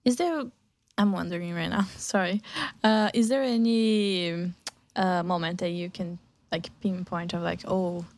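A woman's voice: fairly low pitch, steady tone, a little slow, darkish tone, no room sound. Casual woman host, dry close sound. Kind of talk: casual conversational hosting.

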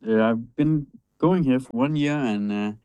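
A man's voice: medium pitch, a little slow, mellow, clear, resonant tone, dry recording. A young man from Denmark, a student, introducing himself hesitantly. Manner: hesitant, many uhs. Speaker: student drummer.

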